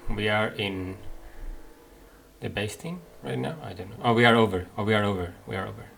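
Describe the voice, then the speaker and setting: A man hosting a game playthrough, commenting casually and haltingly as he thinks aloud. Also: lowish voice, creaky, a bit bright, a little hiss or hum. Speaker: gaming video host.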